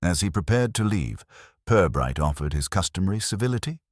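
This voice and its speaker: A man reading a scripted mystery story as a narrator, in a measured, formal way. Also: low voice, sing-song, no room sound, studio quality. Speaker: audiobook narrator.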